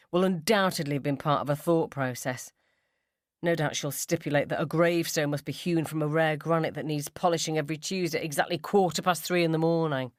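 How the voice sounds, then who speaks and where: A woman's voice: deep voice, no room sound, studio quality. A woman reading a first-person short story in a wry, conversational and sardonic way. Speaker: story narrator.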